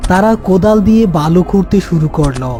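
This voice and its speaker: A man's voice: high voice, lively intonation, brisk, dark tone, faint music behind. A man narrating a scripted horror story as its storyteller.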